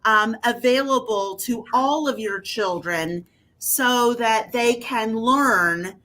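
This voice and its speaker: A woman's voice: on the high side, takes it slowly, sharp tone. A woman co-hosting a literacy talk show, who talks in an affirming, chatty way and often adds her own agreement. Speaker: co-host. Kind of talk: panel discussion.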